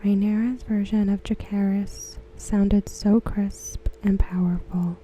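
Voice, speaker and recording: A woman softly reading aloud for ASMR in a breathy near-whisper, gentle and unhurried. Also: mid-range voice, fairly even intonation, slight background noise, poor audio. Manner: hushed, gentle, unhurried delivery. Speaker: ASMR creator. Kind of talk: soft-spoken ASMR read-aloud of written comments.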